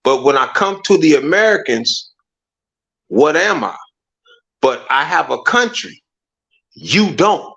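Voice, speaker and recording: A man's voice: fairly high pitch, expressive, slow, gravelly, pauses often, fairly bright tone. Emphatic man, muffled lo-fi sound. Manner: emphatic, rhetorical, repeats "watch this". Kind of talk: casual conversation.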